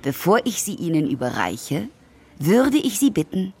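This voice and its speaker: An actress playing a formal citizenship official in a radio drama, measured and procedural in manner. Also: female voice, fairly low pitch, sing-song, a little mellow, slightly rough. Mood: formal, ceremonial. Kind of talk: German radio drama acting.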